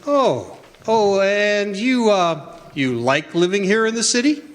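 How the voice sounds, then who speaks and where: A man playing a kindly, jolly old Santa Claus in a stage radio drama, coaxing and fond with halting speech. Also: high-pitched, sing-song, exaggerated voice. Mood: warm, probing. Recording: echoey hall stage recording.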